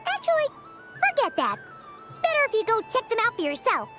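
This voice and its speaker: A woman's voice: extremely high pitch, huge pitch swings, a little fast, full character voice. A woman voicing a bubbly, eager, girlish festival guide in a video game, with a bossy friendliness. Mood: upbeat, encouraging. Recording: loud music under voice.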